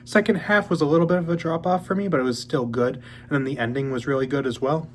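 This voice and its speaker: A young man hosting a casual book channel, talking in a relaxed, rambling way with many ums, a little sniffly as he gets over a cold. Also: on the high side, fast, slight background noise. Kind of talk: casual monthly reading update.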